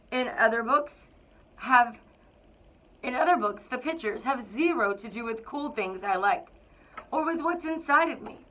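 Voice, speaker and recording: A woman reading a children's picture book aloud to school students in an expressive, deliberate storytelling way. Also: on the high side. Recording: thin, phone-like narrow-band sound.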